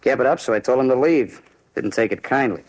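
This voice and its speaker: An American actor playing a talkative, eager bar owner full of street slang in a 1950s radio crime drama. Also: male voice, medium pitch, a little fast, darkish tone, natural voice, rough recording. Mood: worked up.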